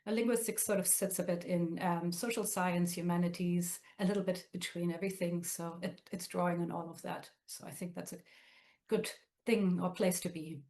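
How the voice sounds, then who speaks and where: A woman's voice: on the low side, fairly even intonation, moderate pace, a little mellow. German-accented woman on a video call. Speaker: trainer on a webinar panel. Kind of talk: casual self-introduction on a video call.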